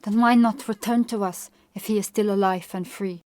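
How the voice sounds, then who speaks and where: A woman's voice: medium pitch, fairly even intonation, normal speed, a little mellow, dry recording, studio quality, lightly acted. A woman voicing a young Norse warrior, stern and questioning, with terse, grave lines in an audiobook. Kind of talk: audiobook character acting.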